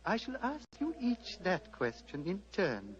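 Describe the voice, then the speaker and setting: An actor playing a serene, fatherly old Tibetan abbot in a measured, formal radio drama style. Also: high voice, huge pitch swings, heavily acted. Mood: solemn questioning. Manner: measured, formal, gently paternal. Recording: muffled vintage radio, hum and hiss.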